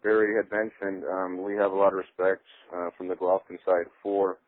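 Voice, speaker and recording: A man giving an unscripted promotional statement as a boxing promoter on a conference call, measured, halting and matter-of-fact. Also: sits low, level tone, dry recording. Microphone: telephone conference call. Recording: dry, narrow telephone line.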